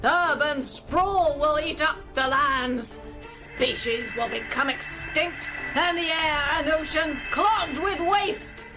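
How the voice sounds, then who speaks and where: Woman playing a high-voiced, accusing hideous hag. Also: takes it slowly, hardly any pauses, poor audio. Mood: dire, prophetic. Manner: declamatory, scolding, portentous. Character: hideous accusing hag, bitter and doom-laden prophetess. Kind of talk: theatrical storytelling drama. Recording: music under the voice.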